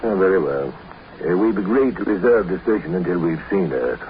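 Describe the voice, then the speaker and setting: An actor playing a wry, skeptical, rather haughty envoy from colony planets in a 1950s radio drama. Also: male voice, low voice, expressive, a little fast, slight background noise. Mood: reserved. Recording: muffled 1950s radio recording.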